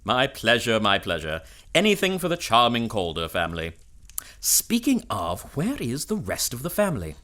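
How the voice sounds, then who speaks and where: An actor playing a hearty, courtly family friend in a period radio melodrama, effusive and given to repeating himself. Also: male voice, mid-range voice, wildly swooping pitch, normal speed, sharp tone. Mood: hearty welcome. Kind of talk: period radio drama acting.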